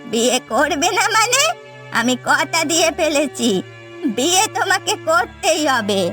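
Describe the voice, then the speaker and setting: A woman's voice: high voice, sing-song, measured pace, pushed and bright, exaggerated voice. A woman voicing a scheming, greedy, domineering old mother-in-law in a cartoon family drama, scolding and scornful. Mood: indignant. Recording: background music.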